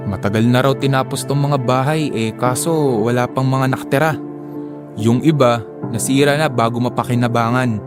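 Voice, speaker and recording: A man's voice: medium pitch, fairly even intonation, moderate pace, lightly acted. A man playing a disillusioned friend and neighbour in an audio drama, resigned and conversational, with music behind him. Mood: resigned, frustrated. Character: weary, disillusioned friend, jobless neighbour in resettlement housing. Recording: music under the voice.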